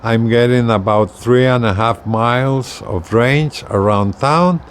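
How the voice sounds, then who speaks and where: A man giving a casual product demonstration as a video presenter, talking haltingly and repeating words as he explains. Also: medium pitch, slight background noise. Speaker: hobbyist video presenter, truck driver.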